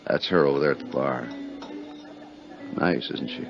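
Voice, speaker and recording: Easygoing sidekick, really low voice, casual n laconic, old radio show w music loud behind him. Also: male voice, normal speed, dull audio. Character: easygoing, agreeable male colleague and drinking buddy. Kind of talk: 1950s radio drama acting.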